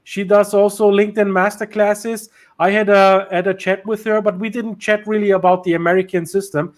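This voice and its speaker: A man's voice: sits high, level tone, moderate pace. A male host whose first language is German or Turkish, speaking English in a casual interview over a video call, with many fillers and restarts.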